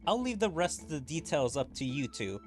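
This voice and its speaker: A man's voice: a little high, very animated, fairly quick, soft background music. A man reading as Rimuru, an easygoing young leader. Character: calm young male slime hero, easygoing leader.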